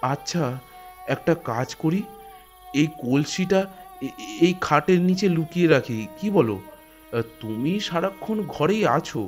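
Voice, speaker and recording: A man voicing a poor, honest, god-fearing village husband in a Bengali animated folk tale, with a West Bengal accent and a hesitant, stammering, earnest delivery. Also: a little high, a little slow, pauses often, soft background music. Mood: reassuring. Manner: hesitant, stammering, gently reassuring. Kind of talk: animated Bengali folk ghost story dialogue.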